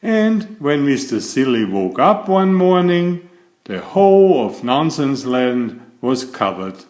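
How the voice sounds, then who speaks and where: A man's voice: medium pitch, sing-song, takes it slowly, darkish tone. A man reading a children's bedtime story aloud expressively, putting questions to the listener. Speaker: storybook reader.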